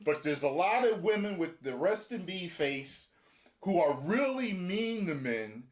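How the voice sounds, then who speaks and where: Animated man with lively pitch. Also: measured pace. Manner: animated, acts out quotes with shifting pitch. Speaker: show host. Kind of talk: casual reaction chat.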